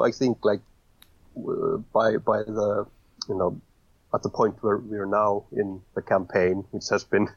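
A man's voice: fairly low pitch, husky, dry recording, lo-fi sound. A man guest on a podcast, talking casually and hesitantly with many fillers and restarts. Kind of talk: casual interview talk.

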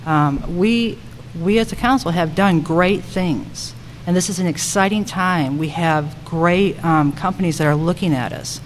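A woman's voice: on the low side, a little slow, hardly any pauses, poor audio. A woman giving emphatic candidate remarks at a public forum, often repeating phrases for weight. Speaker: city council member and candidate. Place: public forum room at a school. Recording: faint noise and room ambience.

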